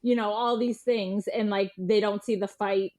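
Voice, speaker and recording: A woman's voice: mid-range voice, fairly even intonation, moderate pace, darkish tone. A woman chatting casually as a podcast guest, very informal, scattering filler words and acting out quoted remarks. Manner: informal, peppers speech with "like", animatedly quotes others. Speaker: journalist and author, podcast guest. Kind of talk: casual chat.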